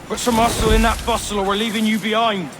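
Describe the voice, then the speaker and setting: A coarse bully of a sailor acted by a man barks salty orders in a video game. His voice is strikingly high for a man. A clear rumble runs behind him. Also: level tone, quick pace, lightly acted. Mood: gruff, impatient. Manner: crude, salty, barking. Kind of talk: dramatic video game acting.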